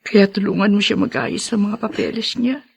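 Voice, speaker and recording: Husky, emotional mother. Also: medium pitch, steady tone, normal speed, darkish tone. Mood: pleading, tearful. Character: ailing, tender adoptive mother, a widow, gently teasing. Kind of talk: radio drama acting.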